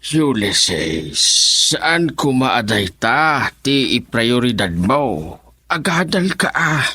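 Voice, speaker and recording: An old grandfather giving admonishment in a radio melodrama. His voice is husky and forceful, with big swings in pitch. He speaks slowly. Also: very animated, no echo, clean studio sound, heavily acted. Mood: admonishing. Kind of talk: melodramatic radio drama acting.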